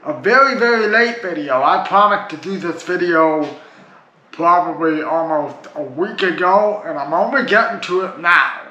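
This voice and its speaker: Chatty Canadian guy, bright voice. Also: on the high side, normal speed. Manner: rambling, chatty, restarts with "like" and "uh". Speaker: music reviewer. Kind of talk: casual video review. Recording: dull lo-fi sound in an echoey room with faint noise.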